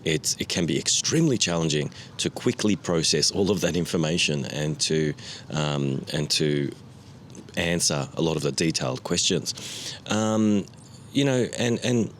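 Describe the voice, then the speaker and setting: A man's voice: rumbling low, normal speed, a little hiss or hum. A man hosting a solo podcast, talking casually and rambling with many fillers and restarts. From foreign resident of Japan. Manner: relaxed, rambling, many "uh" and "you know" fillers. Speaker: podcast host.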